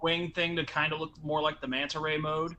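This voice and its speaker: Male panelist, slightly high, sharp bright voice, matter-of-fact hobby talk, some background noise. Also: level tone, fairly quick. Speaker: panelist on a collector show. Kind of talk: casual knowledgeable chat.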